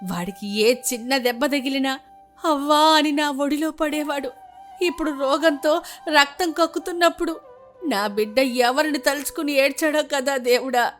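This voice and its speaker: An old village grandmother in a melodramatic moral story. She laments with loving, self-blaming grief in a high-pitched voice. Faint music runs underneath. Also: a bit bright. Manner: wailing, lamenting delivery.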